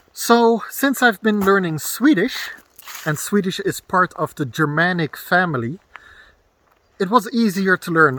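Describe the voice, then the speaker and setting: Dutch man, bright voice, outdoors. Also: on the high side. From Netherlands. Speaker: vlogger. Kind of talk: casual talk to camera. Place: woods outdoors.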